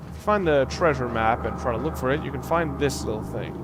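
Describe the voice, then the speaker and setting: Rambling man commentating a game, noisy background. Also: medium pitch. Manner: rambling, halting, thinking aloud. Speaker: let's play host. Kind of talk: casual gameplay commentary.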